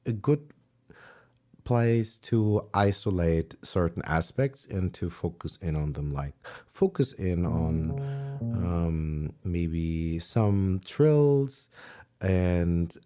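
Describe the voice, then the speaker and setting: Slow-talking German man teaching piano. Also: low-pitched. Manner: relaxed, searching for words, sings and counts along. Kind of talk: livestream music lesson. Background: piano playing.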